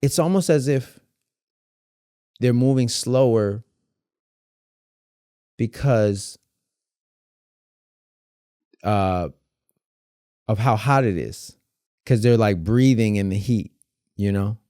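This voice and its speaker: A man speaks as a podcast guest in a low, mellow voice. He talks in an animated, emphatic way and builds each point through vivid comparisons. The sound is dry and close to the mic. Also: slow, long pauses, clean tone, dry recording. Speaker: dance teacher and battle judge, guest. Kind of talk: casual conversational interview.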